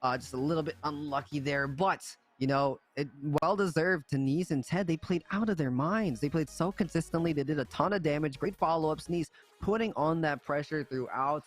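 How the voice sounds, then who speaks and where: A man's voice: fairly high pitch, brisk, few pauses, soft background music. A man commentating an esports tournament on a livestream in a casual, appreciative way, often repeating his phrases. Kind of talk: casual live game commentary and sign-off.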